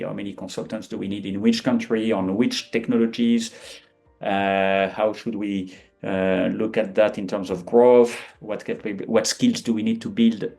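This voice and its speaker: French man in his fifties, low voice. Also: gravelly, a little mellow. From France. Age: 50s. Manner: hesitant, frequent fillers and restarts. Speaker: guest. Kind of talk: conversational interview.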